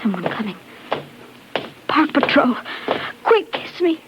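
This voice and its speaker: Young female lead, proud and urgent, high voice, 1950s radio acting, faint hiss, muffled sound. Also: big pitch swings, slow, heavily acted. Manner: intense, urgent. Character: proud, fearful young woman of oppressed Earth. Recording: dull, noisy vintage radio recording.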